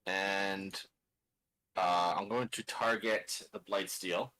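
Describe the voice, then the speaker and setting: Slow-paced man talking through a card game. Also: low voice, steady tone, slight background noise, rough recording. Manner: muttering, counting aloud, thinking out loud. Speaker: card game player and streamer. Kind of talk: casual play-by-play of own tabletop card game turn.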